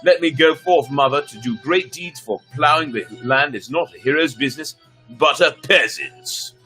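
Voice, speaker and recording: A man voicing a self-important peasant hero from a folk tale, with grand, deliberate declarations. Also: a little high, sharp tone, faint music behind. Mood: boastful. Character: boastful peasant champion, solemn, self-important young man.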